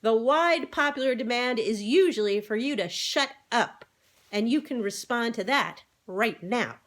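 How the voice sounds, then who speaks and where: A woman's voice: a little high, animated, measured pace, a bit bright, pitched above the performer's own voice. A woman voicing a scolding, no-nonsense mother hen in an animal storybook, speaking vigorously. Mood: scornful. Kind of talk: animal storybook read-aloud.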